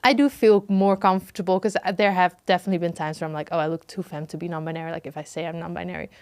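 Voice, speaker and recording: A woman guest on a podcast, talking in a relaxed way full of "like" and "you know", often trailing off softly. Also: lowish voice, racing, clear, resonant tone, no echo. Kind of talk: casual interview chat.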